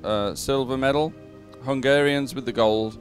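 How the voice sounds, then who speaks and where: Male live sports commentator, mid-pitched, halting delivery as he gives updates and results, faint music underneath.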